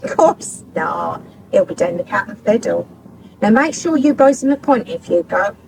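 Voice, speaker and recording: Swooping, coaxing wife. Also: female voice, medium pitch, big pitch swings, moderate pace, creaky, darkish tone, slight background noise, poor audio. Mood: reproachful, prying. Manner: wheedling, forthright. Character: forthright, coaxing working-class country wife. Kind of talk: naturalistic radio soap acting.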